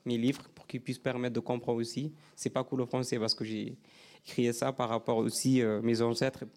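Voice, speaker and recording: A man from Sudan, an author whose first language is a Sudanese language, speaking in halting, non-native French on a panel. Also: mid-range voice, little pitch movement, a little mellow. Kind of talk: panel discussion.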